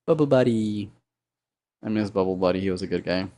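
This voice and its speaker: Male streamer, gravelly low baritone, sore throat, rambling thinking-aloud chat while animating. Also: brisk, dark tone. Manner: rambling, thinks aloud, hums and mutters sound effects. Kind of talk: casual live-stream chat while working.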